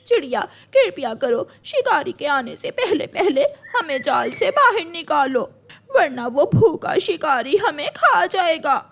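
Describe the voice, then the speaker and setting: A woman's voice: high-pitched, big pitch swings, measured pace, a bit bright, a little hiss or hum, heavily acted. A woman voicing an anxious, pleading female crow with a dramatic manner in a children's cartoon. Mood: panicked. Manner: tearful, dramatic. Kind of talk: Hindi children's cartoon dialogue.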